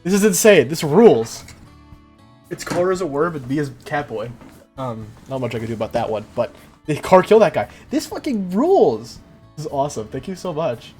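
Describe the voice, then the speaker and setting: Young male streamer, lively swinging delivery, casual slang and self-deprecation, light music underneath. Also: a little high, brisk. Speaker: livestreamer, host. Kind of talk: casual livestream chat while building.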